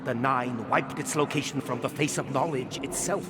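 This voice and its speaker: An actor playing a sinister, cryptic mystic mentor in a video game, with grandiose, deliberate phrasing. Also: male voice, medium pitch, heavy background noise, close to an everyday voice. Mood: brooding, reverent. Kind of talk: dramatic video game cutscene acting.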